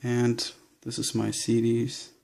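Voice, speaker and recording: Halting young Danish man, gravelly. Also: medium pitch, monotone, darkish tone. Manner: hesitant, halting listing with nervous laughs. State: nervous and shaky. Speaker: vlogger. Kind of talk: casual bookshelf tour.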